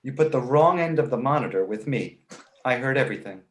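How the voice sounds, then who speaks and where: A man playing a precocious, sarcastic baby boy with a dry, mock-innocent way of needling, in an animated comedy table read. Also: medium pitch, a little fast, close to an everyday voice. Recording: echoey room.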